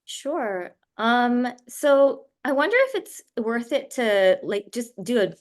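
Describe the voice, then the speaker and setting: A woman from Japan speaking as a guest on a video call, explaining things in a thoughtful, measured way. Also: fairly high pitch, expressive. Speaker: guest speaker, writer and editor. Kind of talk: explanatory talk in an online interview.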